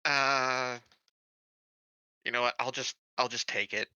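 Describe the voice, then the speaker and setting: A man's voice: medium pitch, steady tone, slow, sharp tone, clean tone, no room sound, muffled recording. A man playing a tabletop role-playing game, chatting casually and jokingly.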